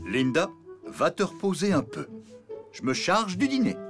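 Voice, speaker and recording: Fussing elephant father, cartoon, hugely animated intonation, cheery, theatrical mutterer, music behind. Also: high-pitched, measured pace, heavily acted. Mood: cheerful, assertive. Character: cheery middle-aged elephant dad, fussing good-naturedly. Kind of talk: animated children's cartoon acting.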